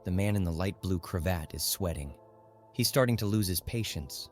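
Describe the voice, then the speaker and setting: A man's voice: low voice, normal speed, soft background music. A man narrating a literary audiobook in a scripted, dramatic storytelling style.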